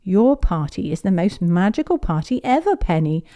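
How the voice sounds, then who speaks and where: A woman voicing an excitable, friendly little girl pig in a children's picture book, eager and full of exclamations. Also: lowish voice, normal speed. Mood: delighted.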